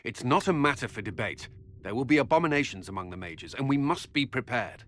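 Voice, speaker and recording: A man voices a stern, wary commander for a video game. His middle-pitched voice moves expressively while staying firm and duty-bound. It rings in a long hall echo. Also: lively intonation, fairly quick, fairly bright tone, natural voice. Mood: exasperated, stern. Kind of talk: video game dialogue acting. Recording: echoey stone hall, faint background noise.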